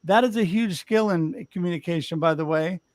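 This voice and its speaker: A man's voice: high voice, brisk, no echo. A man hosting a talk show over a video call, upbeat and encouraging as he wraps up with his guests. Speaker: show host. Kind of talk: casual interview hosting.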